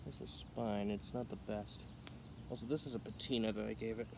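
A man's voice: on the low side, little pitch movement, a little fast, gravelly. A man casually talking to himself while he carves wood in a backyard, picked up by a camera mic on the ground. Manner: offhand, muttering to himself while working. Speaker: outdoor knife vlogger. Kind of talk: casual demonstration talk. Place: backyard outdoors by a chain-link fence. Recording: outdoor ambience noise, passing cars. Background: outdoor ambience with passing cars and insects.

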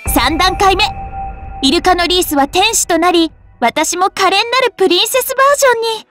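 A woman's voice: very high voice, expressive, normal speed, fairly bright tone. A woman voicing a cheerful, devout young maiden for an anime mobile game, speaking politely and earnestly. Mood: cheerful, sweet. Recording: studio voice over game music. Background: game music.

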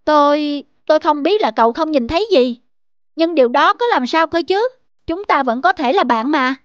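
A woman's voice: high-pitched, lively intonation, normal speed, dry recording, dull audio. A woman voicing a friendly, eager young dog in a cartoon, with an earnest, coaxing manner. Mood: earnest, reassuring. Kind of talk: dubbed cartoon acting.

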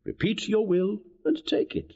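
An actor playing a commanding, proud king in a classical verse audio drama, with a husky voice. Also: high voice, lively intonation, unhurried, darkish tone, lo-fi sound, exaggerated voice. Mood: gracious. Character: commanding king, proud, easily roused to indignation.

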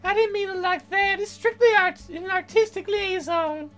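Man doing a very high, shy young woman. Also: normal speed, fairly bright tone, soft background music. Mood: flustered. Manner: falsetto, hesitant. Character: shy young woman musician, flustered and earnest.

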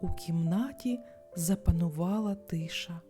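A woman's voice: lowish voice, unhurried, dark tone. A woman storyteller narrating a bedtime fairy tale expressively for children. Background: music.

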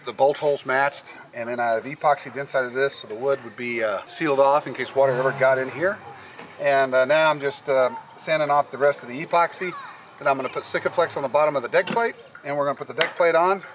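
A man's voice: medium pitch, steady tone, fast, fairly bright tone, slight background noise. A middle-aged man giving a casual step-by-step how-to on his sailboat, plainly explaining with fillers, recorded on a camera mic. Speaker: sailing vlogger. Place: on a sailboat deck, outdoors and in the cabin.

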